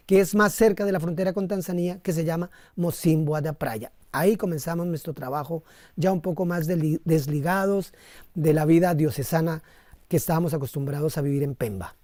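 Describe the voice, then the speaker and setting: A Colombian man speaks as an interviewed missionary priest. His tone is dark and relaxed, recorded dry with little room sound. He tells his story conversationally, often stopping to rephrase as he goes. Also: sits high, no room sound.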